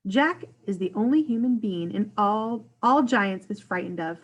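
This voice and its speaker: A gentle storybook giant played by a woman speaks in a hushed, careful voice. He is earnest and cautious, with quaint, muddled grammar. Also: mid-range voice, animated, moderate pace, husky, muffled recording. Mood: hushed, knowing.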